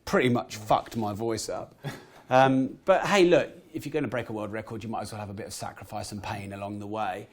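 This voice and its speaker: A man in his thirties with a croaky voice, a podcast guest telling animated stories and swearing casually. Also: fairly low pitch, brisk. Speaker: guest entrepreneur. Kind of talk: podcast interview storytelling.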